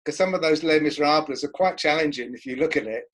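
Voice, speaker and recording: A man's voice: on the high side, fast talker. A man chatting casually and reflectively as an interviewer, with informal, loosely phrased remarks.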